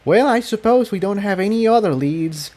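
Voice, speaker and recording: Man putting on an anxious, high-pitched young mare. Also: lively intonation, moderate pace, warm tone. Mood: uneasy insistence. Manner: earnest, uneasy, questioning. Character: anxious young female pony, tearful but caring.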